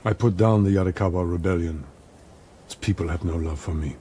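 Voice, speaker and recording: A man playing a stern, commanding older samurai lord in a game drama, speaking in a formal, measured, authoritative way. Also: sits low, dark tone, slight background noise, close to an everyday voice. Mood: stern, guarded. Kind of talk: cinematic game drama acting.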